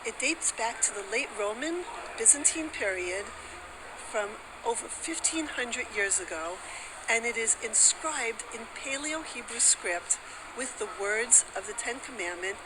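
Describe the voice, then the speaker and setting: A woman's voice: fairly high pitch, lively intonation, a little slow, noisy background. A woman giving an explanatory presentation as a specialist showing an artifact.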